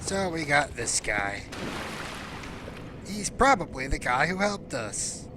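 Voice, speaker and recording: A man's voice: fairly high pitch, sing-song, slow pace, noisy background. A man giving casual, unscripted let's play commentary in an offhand, dryly sarcastic way. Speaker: gameplay commentator. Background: loud game music and sound.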